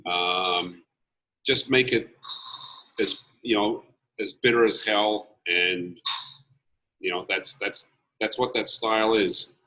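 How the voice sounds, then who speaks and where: A lower-pitched man with a forceful, bright tone and a mild Americanized Australian accent. He talks easygoing but blunt, filling pauses with ums. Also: fairly even intonation, poor audio. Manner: easygoing, opinionated, many ums. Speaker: brewmaster. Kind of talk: casual interview answers. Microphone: video call.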